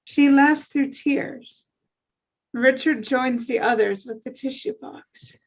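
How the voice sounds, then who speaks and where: Female narrator for screenplay action lines: high-pitched, unhurried, scripted reading. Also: rough recording. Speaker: narrator of stage directions. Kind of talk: scripted narration at a table read.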